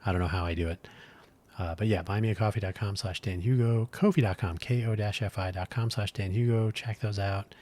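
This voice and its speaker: Solo podcast host, man, middle-aged, deep and low-pitched, throat gone scratchy, rambling with fillers. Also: warm tone. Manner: rambling, thoughtful, frequent fillers and restarts.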